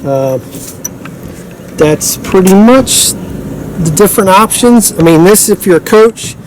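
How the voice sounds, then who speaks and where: Higher-voiced man demonstrating gear, noisy background. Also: expressive, slow. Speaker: product demonstrator. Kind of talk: casual unscripted product demonstration.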